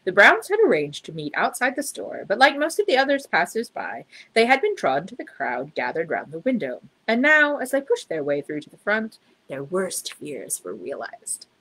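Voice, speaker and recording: A woman reading a children's story aloud in expressive narration. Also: medium pitch, moderate pace, husky, low-quality recording. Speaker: storybook reader.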